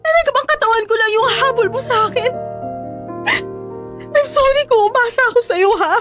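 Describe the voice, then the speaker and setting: A woman's voice: very high voice, moderate pace, over music. An actress voicing a lovelorn young woman in a Filipino radio drama, speaking in an emotional, pleading way. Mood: hurt, pleading. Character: lovelorn young woman, hopeful then hurt and jealous.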